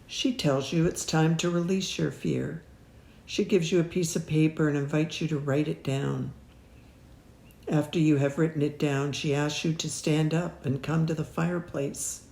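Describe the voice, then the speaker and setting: A woman narrates a guided meditation at a very unhurried pace. Her tone is soft-edged and mellow, with measured, soothing phrasing and long pauses. The sound is roomy, with a noticeable echo around the voice. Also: deep voice, vocal fry.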